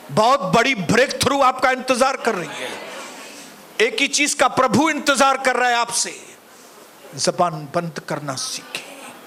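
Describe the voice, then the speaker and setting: High-voiced, unhurried preaching pastor. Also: male voice, slight background noise. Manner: preaching, rising to shouted calls to the congregation; soft, low, slow in prayer. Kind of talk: sermon and closing prayer.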